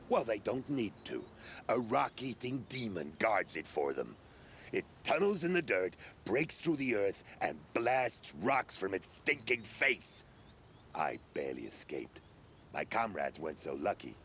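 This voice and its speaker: A low-pitched, grumbling mercenary leader from a video game. He is sardonic and bitter, with colourful, folksy complaints, over clear background noise. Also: a bit bright, full character voice. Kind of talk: video game cutscene dialogue acting.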